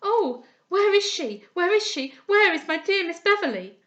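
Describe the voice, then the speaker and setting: A woman voicing a frantic young woman who wails high and tearful in grief. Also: big pitch swings, moderate pace, fairly bright tone, lo-fi sound, full character voice. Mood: horrified.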